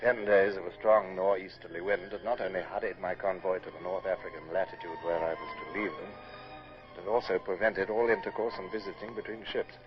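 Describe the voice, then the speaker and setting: An actor playing a stern, commanding British naval captain in a radio drama, formal and crisp in manner. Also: male voice, sits low, steady tone, fairly quick, over music, close to an everyday voice. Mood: measured narration. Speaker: lead actor and narrator. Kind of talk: vintage radio drama acting and narration. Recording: dull, faintly noisy old radio recording.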